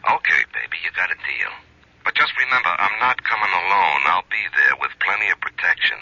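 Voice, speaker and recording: An actor playing a suspicious, menacing gangster in a 1970s radio drama, sneering and clipped over a telephone. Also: male voice, low-pitched, expressive, fairly quick, bright, forceful tone, exaggerated voice. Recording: noisy, dull phone-line radio recording.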